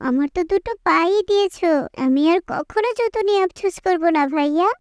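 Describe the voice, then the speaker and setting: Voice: very high-pitched, a little fast, no room sound. A performer voicing a poor little sister in a moral cartoon, sweet, sensitive and earnest in a childlike way. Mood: remorseful. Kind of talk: Islamic moral cartoon dialogue.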